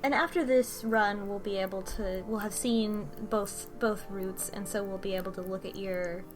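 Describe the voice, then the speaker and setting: A woman talks as a podcast panelist with an average-pitched voice, looping back over her words as she reasons things out. Music is clearly audible behind her. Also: steady tone, normal speed. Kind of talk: casual analytical discussion. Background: background music.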